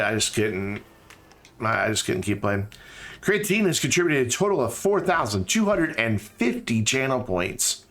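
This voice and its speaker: A man's voice: fairly low pitch, fairly bright tone. A tired man with a slightly scratchy throat, streaming a game and thinking aloud in casual chat with many fillers.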